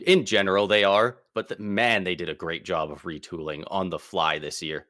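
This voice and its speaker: Bright, excitable man talking hockey. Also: sits low, normal speed, no room sound, studio quality. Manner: excitable, slangy, emphatic. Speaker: podcast co-host. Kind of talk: casual sports podcast chat.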